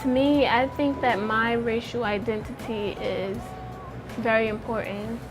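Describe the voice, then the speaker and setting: A young woman with a fairly high voice speaks earnestly to camera, restating her ideas and starting many thoughts with "I think that." Clear music plays behind her words. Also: fairly even intonation, a little slow, poor audio. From Pennsylvania. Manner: earnest, repeats "I think that", circles back to her point. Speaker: interviewee. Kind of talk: personal testimony to camera. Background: background music.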